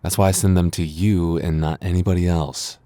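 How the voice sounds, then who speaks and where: A man's voice: sits low, fairly even intonation, brisk, mellow, dry recording, lightly acted. A man playing a flustered young man with a secret crush in an intimate boyfriend ASMR roleplay, stammering and getting defensive. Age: young adult.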